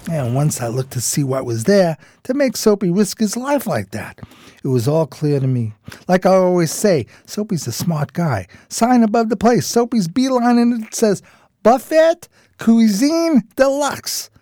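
Man acting a mellow, animated streetwise New pal. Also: sits high, unhurried, no room sound, studio quality. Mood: wry storytelling. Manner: colloquial, slangy, folksy. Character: streetwise homeless pal narrating in folksy slang, admiring. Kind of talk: radio drama narration.

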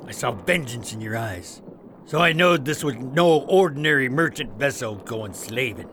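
An actor playing a rough, wily veteran pirate in an audio drama, talking in colloquial sailor dialect. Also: male voice, medium pitch, animated, moderate pace, a bit bright, a little hiss or hum, close to an everyday voice. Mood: grim, reminiscing. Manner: colloquial, swaggering pirate talk. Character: rough, wily veteran pirate, Captain's trusted old hand.

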